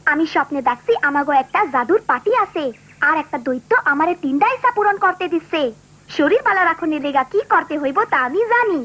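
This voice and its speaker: Very high-pitched cartoon child. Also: female voice, expressive, a little fast, a bit bright, soft background music. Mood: eager. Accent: Bangladeshi. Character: earnest, cheerful village child in a children's cartoon.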